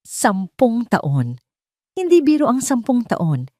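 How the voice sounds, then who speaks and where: A woman's voice: fairly high pitch, huge pitch swings, slow, dark tone, no room sound, clean studio sound. A woman reading scripted, dramatic narration for an audio story.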